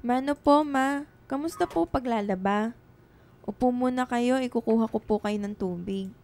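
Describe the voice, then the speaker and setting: An actress playing a polite, obedient young daughter in a radio drama, timid and respectful, with a Filipino accent. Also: female voice, a little high, normal speed, a little mellow, natural voice. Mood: helpful, polite. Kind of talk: Tagalog radio drama acting.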